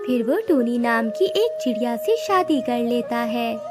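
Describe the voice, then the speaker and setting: A woman's voice: fairly high pitch, lively intonation, measured pace. A woman narrating a scripted children's cartoon story, with music behind her voice.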